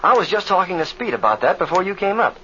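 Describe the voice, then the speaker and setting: Young American aviator, boyish and earnest, 1930s radio drama, muffled recording with some noise. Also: male voice, sits high, sing-song, fast, a bit bright. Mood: reassuring. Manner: earnest, folksy exclamations. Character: eager, encouraging boyish young male pilot. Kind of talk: 1930s radio serial acting.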